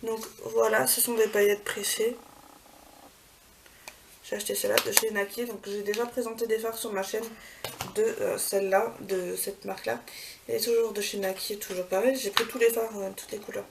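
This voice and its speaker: A woman's voice: mid-range voice, level tone, moderate pace. A woman vlogging a casual beauty haul, chatty, with many fillers and self-corrections. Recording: far from the mic, clear background noise.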